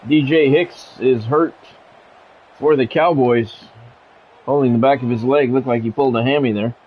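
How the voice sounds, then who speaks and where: A man's voice: mid-range voice, fairly even intonation, moderate pace, husky. A man streaming a football video game, calling it live in clipped, practiced announcer phrases mixed with casual, offhand remarks. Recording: dull lo-fi sound, faint background noise.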